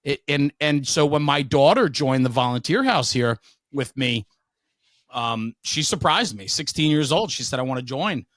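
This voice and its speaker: A man's voice: medium pitch, animated, normal speed, a bit bright, no echo. A middle-aged man telling stories casually as an interview guest, frank, emphatic and opinionated. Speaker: podcast guest, veteran firefighter and speaker.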